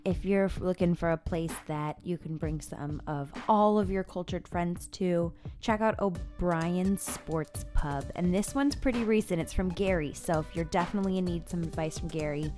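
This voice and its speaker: A woman presents a review show in a somewhat low-pitched voice. She moves between theatrical reading and chatty commentary. Music plays softly behind her. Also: moderate pace, few pauses. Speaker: podcast host.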